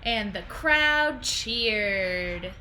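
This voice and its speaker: Young woman, bright forward voice, expressive storybook read-aloud, deliberate phrasing, home computer mic, faint background noise. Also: medium pitch. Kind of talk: expressive children's picture book read-aloud.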